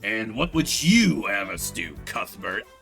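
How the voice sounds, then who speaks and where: A man voicing a cold, stern nobleman prosecutor in a video game, speaking in a measured, pompous way. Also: lowish voice, sing-song, gravelly, fairly bright tone, faint music behind, pitched below the performer's own voice, exaggerated voice. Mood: challenging.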